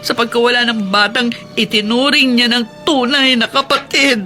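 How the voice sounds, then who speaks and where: A woman's voice: on the high side, steady tone, normal speed, music in the background, lightly acted. An actress playing an anguished, protective working-class mother, plaintive and emotional in a Filipino radio drama. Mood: sorrowful.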